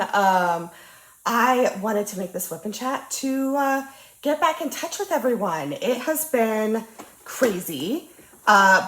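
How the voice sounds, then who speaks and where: A woman's voice: fairly high pitch, fairly bright tone. Bubbly woman with a stuffy cold. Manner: bubbly, chatty, lots of ums and uhs. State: nasal from a cold. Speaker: diamond painting channel host. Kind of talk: casual crafting chat. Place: indoor crafting table. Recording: roomy echo.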